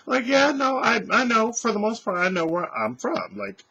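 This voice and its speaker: A man in his thirties, a vlogger, telling a casual story to his webcam in a small room at home, animated and swearing freely. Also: high voice, moderate pace, breathy edge, muffled recording. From California. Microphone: webcam at desk distance.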